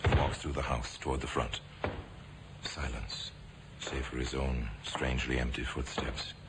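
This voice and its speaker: A man narrating a radio drama in a measured, ominous way. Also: extremely low pitch, fairly even intonation. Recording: hissy old radio broadcast.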